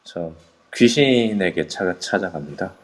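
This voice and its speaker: A man voicing a calm, determined young man who speaks politely but firmly. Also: low voice, slow, heavily acted. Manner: polite and firm. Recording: long reverb on a voice chat app.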